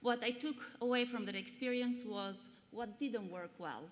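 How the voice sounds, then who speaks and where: A woman in her forties with an Albanian accent, giving an earnest, measured conference pitch with frequent fillers. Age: 40s. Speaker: pitch presenter. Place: large conference hall. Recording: long hall reverb, faint noise.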